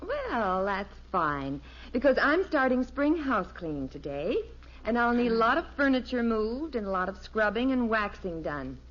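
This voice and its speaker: A patient radio sitcom mom, dry and knowing, slightly high voice, old dull recording. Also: female voice, very animated, moderate pace. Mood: brisk. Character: patient, wry middle-aged suburban housewife mother. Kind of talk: 1950s radio sitcom acting. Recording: muffled vintage radio recording.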